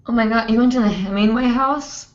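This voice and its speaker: A young woman hosting a hoop dance livestream, hoarse and chatty, rambling with many fillers. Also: fairly high pitch, a little fast. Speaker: host. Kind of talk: casual livestream chat. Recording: roomy, dull lo-fi stream audio.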